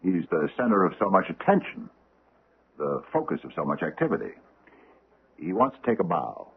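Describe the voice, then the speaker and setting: An actor playing an aging, pompous judge with hesitant, formal phrasing in an old-time radio drama. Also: male voice, sits low, very animated, moderate pace, slight background noise. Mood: brooding, musing. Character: aging pompous judge, ponderous, secretive and grumbling. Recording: muffled, band-limited vintage radio recording.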